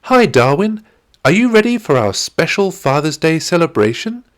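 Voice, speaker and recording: A man voicing a cheerful, eager ten-year-old girl who trains animals in a children's storybook, bright and encouraging in manner. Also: fairly high pitch, big pitch swings, normal speed, voice pushed up. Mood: excited.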